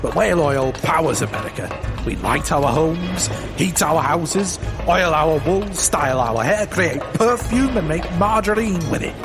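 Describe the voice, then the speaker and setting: An actor playing a brash, greedy, boastful whale-oil tycoon in a comedic audio drama, with a bombastic, blustering delivery. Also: male voice, a little high, lively intonation, measured pace, music in the background.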